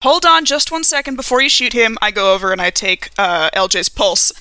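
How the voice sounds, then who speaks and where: A woman's voice: a little high, steady tone, fairly quick, bright, forceful tone, no echo. A woman chatting and improvising in character as a player in a tabletop horror roleplaying game.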